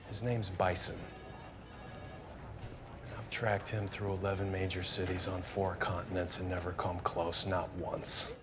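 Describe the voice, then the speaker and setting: An actor playing an obsessed, brooding tough cop in an action film, speaking tersely and grimly. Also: male voice, deep voice, level tone, unhurried. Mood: grim, intense. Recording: film clip with loud music underneath.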